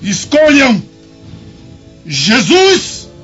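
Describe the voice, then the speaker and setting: Slow, high-voiced Roman governor played by a man. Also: wildly swooping pitch, fairly bright tone, breathy edge, faint music behind, heavily acted. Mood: commanding, shouting. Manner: declamatory, theatrical. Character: wavering Roman governor, proclaiming to a mob. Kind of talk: radio drama acting. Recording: dull lo-fi recording.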